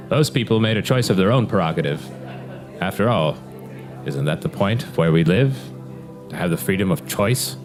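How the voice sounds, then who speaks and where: An actor playing a cheerful, self-satisfied city mayor in an audio drama, speaking like a pompous, folksy politician. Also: male voice, low-pitched. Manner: pompous, oratorical, smooth politician. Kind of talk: scripted audio drama acting. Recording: loud music behind the voice.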